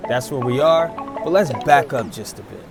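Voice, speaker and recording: A man hosting an interview show, energetic and upbeat, swinging between loud hype and low, relaxed asides. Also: normal speed, loud music behind the voice. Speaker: show host.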